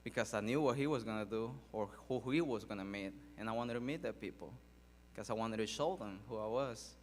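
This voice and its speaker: A man who grew up in Mexico, telling his life story in a halting speech full of fillers and pauses. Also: on the low side, long reverb tail, slight background noise. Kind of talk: personal testimony speech. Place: large hall at a public event.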